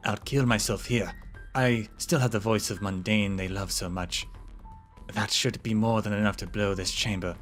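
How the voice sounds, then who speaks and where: A man voicing a haunted young nobleman who speaks in a low, grim, determined way. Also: steady tone, moderate pace, fairly bright tone, soft background music, lightly acted. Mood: resolute. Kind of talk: game story read aloud.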